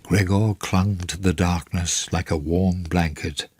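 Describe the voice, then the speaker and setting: A man's voice: deep voice, fairly even intonation, slow, darkish tone, husky, dry recording, heavily acted. A man reading scripted narration for a radio drama. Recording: very noisy recording.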